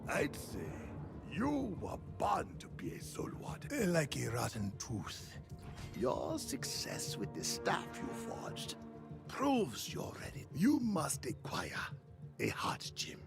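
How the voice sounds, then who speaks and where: An actor playing a grandiose, theatrical mystical mentor in a fantasy video game, speaking in a dramatic, portentous way. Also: male voice, a little high, huge pitch swings, takes it slowly, vocal fry, exaggerated voice. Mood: solemn, instructive. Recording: game music behind the voice.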